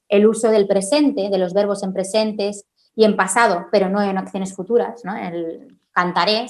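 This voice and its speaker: A woman forensic linguist from Spain, answering questions at a conference in an explanatory way, often tagging her sentences and filling pauses. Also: medium pitch. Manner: explanatory, frequent "¿no?" tags and "eh" fillers.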